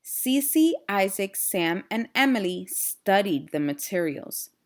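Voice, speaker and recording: A woman's voice: mid-range voice, sing-song, slow pace. A woman reading a children's storybook aloud expressively, with explanatory asides to young listeners. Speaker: read-aloud storyteller and teacher.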